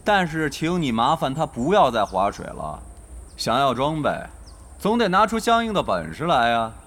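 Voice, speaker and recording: A man playing a proud, sarcastic young tank player in a Chinese audio drama, mocking and quick to bristle. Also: medium pitch, very animated, fairly bright tone. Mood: irritated. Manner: mocking, haughty, challenging.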